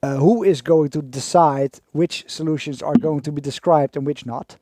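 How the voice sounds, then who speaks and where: A man's voice: a little high, fairly even intonation, moderate pace, warm tone, no room sound, clean studio sound. A man co-hosting a podcast, asking questions hesitantly with many fillers and repeated words. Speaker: podcast co-host. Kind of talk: casual podcast chat.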